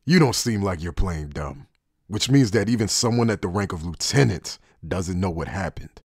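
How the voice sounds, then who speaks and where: A man playing a cynical, coolly defiant outcast in an anime fan dub, with a deep, husky, mocking delivery. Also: expressive, moderate pace, no echo, studio quality, full character voice. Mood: scornful. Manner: mocking, sardonic.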